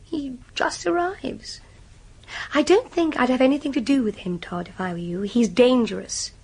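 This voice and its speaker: An actress playing a bored, decadent young socialite in a radio drama, with an upper-class English accent and a flippant drawl. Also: female voice, sits high, normal speed, a little mellow, breathy edge. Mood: languid, teasing.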